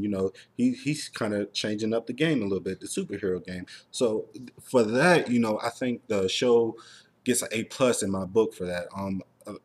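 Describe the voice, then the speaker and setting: Low-voiced man hosting a casual review chat. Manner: rambling, enthusiastic, filler-heavy. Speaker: host of a TV review show.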